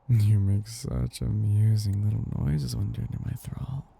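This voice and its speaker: A man voicing a possessive, tender vampire noble in an intimate ASMR roleplay, coaxing slowly and dotingly in a husky, gravelly voice. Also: lowish voice, heavily acted. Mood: hypnotic, soothing. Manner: slow, coaxing and doting, with soft hums.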